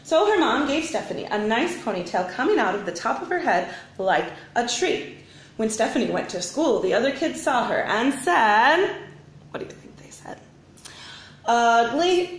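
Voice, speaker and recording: A woman reading a children's storybook aloud like a teacher, with expressive, deliberate phrasing. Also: a little high. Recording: roomy, echoing indoor sound.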